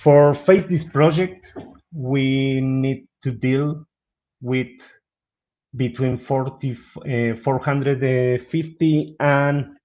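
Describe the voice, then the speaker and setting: A middle-aged man from Argentina with an Argentine Spanish accent, presenting as a guest speaker in a webinar. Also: medium pitch. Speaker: guest speaker, business analyst. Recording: dull lo-fi online call audio.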